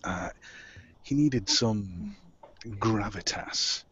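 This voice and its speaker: A man chatting casually on a group call, speaking emphatically and deliberately. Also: lowish voice, fairly bright tone, a little hiss or hum. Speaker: voice actor, cast member. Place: online video call. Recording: dull lo-fi call audio.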